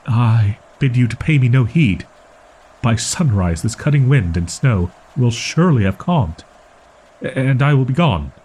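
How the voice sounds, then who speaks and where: A husky young wolf-boy, acted by a man for an audio roleplay. He speaks in haughty, old-fashioned phrases and insists on his pride. Defensive stammers show how easily flustered he is. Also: medium pitch, dark tone. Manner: haughty, stilted archaic diction, defensive stammering. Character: proud, flustered tsundere young wolf-boy with archaic speech. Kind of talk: roleplay audio drama monologue addressed to listener.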